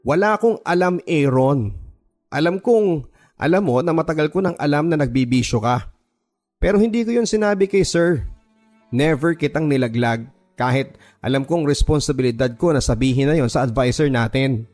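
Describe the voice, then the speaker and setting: A man's voice: a little high, animated, normal speed, a little mellow, natural voice. A man voicing a hurt, angry young student in an emphatic, emotional radio drama style, over music.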